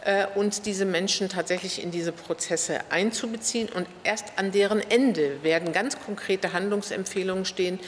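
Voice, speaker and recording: A woman's voice: mid-range voice, normal speed, few pauses. A woman in her fifties explaining in an interview as a city official, in a meeting room. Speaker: city social affairs official. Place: wood-panelled meeting room. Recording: echoey hall, faint room noise.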